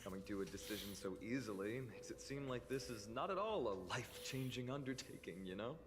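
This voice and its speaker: A man's voice: mid-range voice, lively intonation, a little fast, close to an everyday voice. Man acting an earnest young hero, reverberant. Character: earnest, thoughtful young male hero warrior. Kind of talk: scripted video game voice acting. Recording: long reverb, game music behind.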